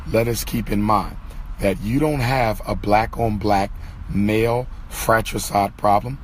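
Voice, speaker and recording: A hoarse man giving an impassioned monologue to his followers, stressing and repeating his key phrases. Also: on the low side, slight background noise. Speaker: lecturer.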